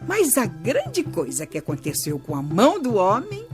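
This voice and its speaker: Animated Brazilian storytelling grandmother. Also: medium pitch. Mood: patient, instructive. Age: older. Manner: didactic, measured, expressive. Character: kindly storytelling grandmother teaching her grandchildren. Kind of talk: children's radio drama storytelling. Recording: music bed under voice.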